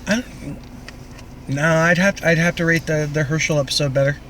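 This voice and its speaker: A man's voice: a little high, fairly even intonation, fairly quick, a bit bright, a little hiss or hum. A man from Canada co-hosting a podcast, recapping television in loose, casual chat. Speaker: podcast co-host.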